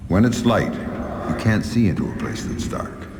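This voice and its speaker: An actor voicing a hardened ex-agent, curt and guarded with adults and soft with a child. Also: male voice, lowish voice, unhurried, roomy sound, close to an everyday voice. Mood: gentle. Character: hardened male ex-agent, guarded, gentle father. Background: music.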